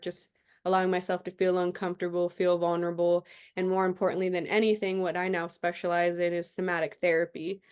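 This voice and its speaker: A woman's voice: fairly low pitch, a little mellow, smooth. A young adult woman, a chiropractor, talking reflectively in a casual interview, often using fillers. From United States, raised partly in Guatemala. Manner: reflective, many fillers like "also too". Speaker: chiropractor guest.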